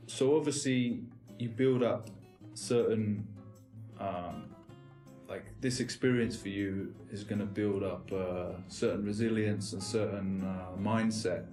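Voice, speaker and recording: Guy interviewing, kinda low voice, rambly, echoey room, guitar in back. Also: darkish tone. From England. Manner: rambling, many fillers and restarts. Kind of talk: casual interview chat. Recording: echoey room with music behind.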